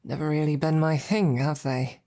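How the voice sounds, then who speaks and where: A woman's voice: sits low, fairly even intonation. A woman playing a devoted, tender female knight in an intimate audio roleplay, speaking to her princess in a hesitant, earnest manner. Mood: wry, reassuring. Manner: hesitant, halting, softly earnest. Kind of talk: intimate scripted roleplay monologue to the listener.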